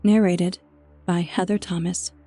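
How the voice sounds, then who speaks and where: A woman narrates a dark tale from a script. Her voice is fairly low and very soft-edged and mellow, set over a musical score. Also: flat delivery, unhurried, faint music behind. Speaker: storyteller, narrator. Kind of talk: scripted horror story narration.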